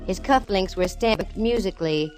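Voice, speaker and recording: A woman's voice: mid-range voice, normal speed, natural voice, rough recording. A woman playing a wisecracking, confident private eye, narrating in wry 1940s radio style. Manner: wry, sardonic. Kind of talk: 1940s radio acting, first-person noir narration. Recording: vintage 1940s-50s radio recording, music behind.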